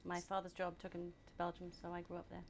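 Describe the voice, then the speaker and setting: A woman born in England, answering interview questions at a steady, measured pace and often pausing on ums. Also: lowish voice, creaky, slight background noise. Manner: measured, hesitant with many ums. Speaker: guest, group founder.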